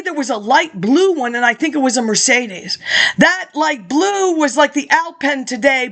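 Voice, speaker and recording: High-voiced middle-aged woman ranting. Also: fairly bright tone. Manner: rambling and indignant, often laughing. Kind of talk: rambling personal monologue.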